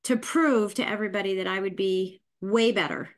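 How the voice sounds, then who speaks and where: A middle-aged woman speaks as an interview guest, recounting her past in a candid, folksy way. She is animated and breaks into little voices when she quotes people, and her voice sits at a mid pitch. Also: normal speed.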